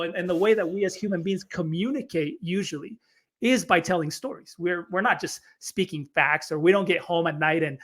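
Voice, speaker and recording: A man from Chile with a high voice coaches on storytelling during a podcast interview. He explains eagerly, doubling up words as he gets going. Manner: energetic, explanatory, repeats words when starting phrases.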